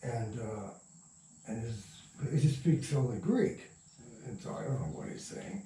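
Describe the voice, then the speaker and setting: An older man with a mellow voice tells stories casually as an interview guest. He rambles and halts, picking his way through each anecdote. The sound is roomy and echoey, with him some distance from the microphone. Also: on the low side, lively intonation, slight background noise. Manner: rambling, halting, chuckling raconteur. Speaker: interview guest, radio producer and sound recordist. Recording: roomy echo, distant from mic.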